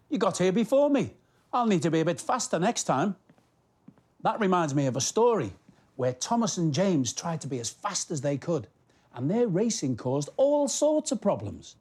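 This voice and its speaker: A man hosting story time, speaking directly to young children in an expressive, playful manner. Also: fairly high pitch, breathy edge.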